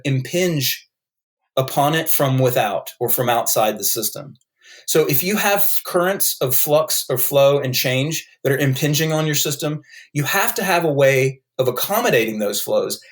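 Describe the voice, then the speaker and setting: A man with a North Carolina accent, a guest author explaining his ideas in a podcast interview, thoughtful and full of restarts. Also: medium pitch.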